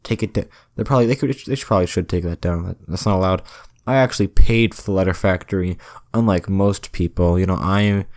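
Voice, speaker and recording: A young man hosting a solo podcast, rambling through deadpan, self-deprecating jokes with frequent restarts. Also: sits low, quick pace. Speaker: podcast host.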